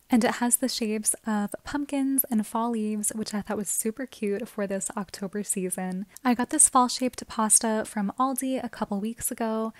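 A woman's voice: mid-range voice, dry recording. A young woman narrating her cooking video in a friendly, chatty voice-over. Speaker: cooking vlogger.

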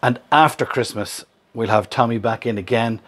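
A chatty Irish man in his fifties talks straight to a camera held at arm's length, upbeat and explaining as he goes. His voice sits at an ordinary middle pitch, and the sound is close and casual, recorded in a workshop and inside a van. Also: moderate pace. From Ireland. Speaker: vlogger host. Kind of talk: casual vlog narration. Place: workshop yard and inside a van under build.

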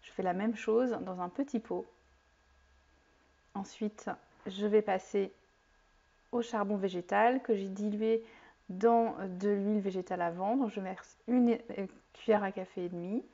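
A woman in her forties explaining soap making step by step in a home kitchen, with a clear, calm teaching manner and a few fillers. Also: mid-range voice, unhurried. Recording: slightly dull lo-fi sound.

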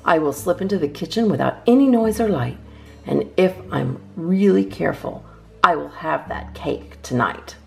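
Low-voiced woman reading children's poems aloud. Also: expressive, moderate pace, creaky, faint music behind. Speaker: children's storytime host and reader.

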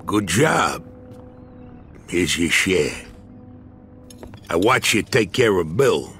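An actor playing a smug, foul-mouthed dockside union boss in a crime video game, with an American accent and a streetwise, chummy, patronizing manner. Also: male voice, low-pitched, sing-song, slow pace, fairly bright tone, heavily acted. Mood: persuasive, businesslike. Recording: music behind the voice. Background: background music.

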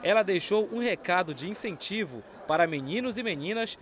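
A man narrating a local news report in a steady, even delivery. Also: high-pitched, a little fast, slight background noise.

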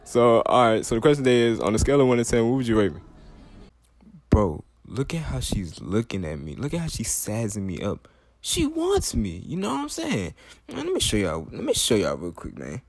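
A man's voice: medium pitch, huge pitch swings, a little fast, vocal fry. An eighteen-year-old man hosting a joking street interview in a shopping mall, laughing often. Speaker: host asking strangers to rate him.

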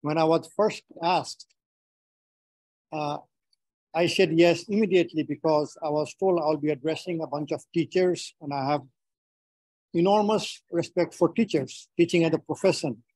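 A middle-aged man from Nepal with a Nepali accent gives a prepared webinar talk in a measured, phrase-by-phrase delivery. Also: a little high, darkish tone, no room sound, low-quality recording. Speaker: webinar speaker.

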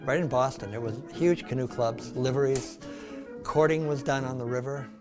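An older craftsman speaks in a middle-pitched voice, earnest, with a dry joking edge. He is in a woodworking shop, with clear music underneath. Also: normal speed. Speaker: canoe builder. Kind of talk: casual interview. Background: background music.

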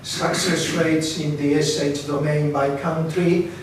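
Echoey distant recording, older man, Spanish accent, slow halting academic presentation. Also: a little high, flat delivery. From Spain. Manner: halting, hesitant delivery with many pauses. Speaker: academic speaker, research council member. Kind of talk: seated conference presentation. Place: seminar room, indoors. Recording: very echoey room, distant mic, faint noise.

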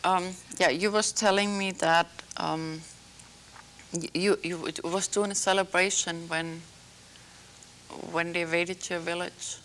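A woman from Algeria hosting a small studio talk show, gently prompting her guest with hesitant, filler-laden questions. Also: fairly low pitch, steady tone, slow, a little hiss or hum. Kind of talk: interviewing a guest.

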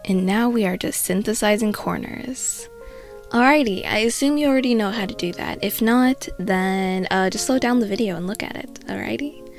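A young woman narrates a screen tutorial with a stuffy, congested voice from a cold. She keeps it casual and chatty, tossing in dry jokes at her own expense. Soft music plays faintly behind her. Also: mid-range voice, normal speed. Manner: casual, chatty, self-mocking asides. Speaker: art tutorial host.

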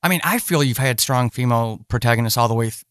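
A man's voice: medium pitch, quick pace, a bit bright, no echo, clean studio sound. A man hosting a podcast interview in a casual, earnest and encouraging way.

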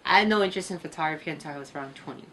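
This is a young woman whose first language is Cantonese, chatting as an interview guest. Her voice sits notably low, and she tells long, wandering stories at an easy pace. Faint ambient hum sits behind her in a small room. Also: very fast, a bit bright. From United States. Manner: easygoing, rambling storytelling. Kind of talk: casual chat. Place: small indoor room with computers.

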